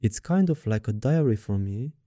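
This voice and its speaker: Romanian-accented man, mellow, reading a script. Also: mid-range voice, dry recording, studio quality. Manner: reads a prepared script in an even, deliberate way. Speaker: podcast host. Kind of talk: scripted podcast monologue.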